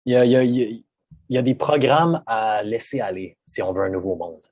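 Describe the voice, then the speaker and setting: A man's voice: mid-range voice, fairly quick, darkish tone, no room sound. A man with a Québécois French accent, a guest speaking reflectively in casual conversation, with frequent hesitant restarts.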